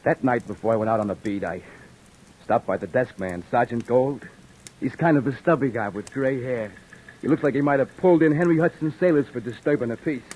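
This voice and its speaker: An actor playing a weary beat cop who narrates and talks with dry wisecracks, in an old radio drama. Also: male voice, medium pitch, brisk, a little mellow, lightly acted, poor audio. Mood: narrating. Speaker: narrator and lead. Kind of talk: 1950s radio drama acting. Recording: old radio recording with faint hiss.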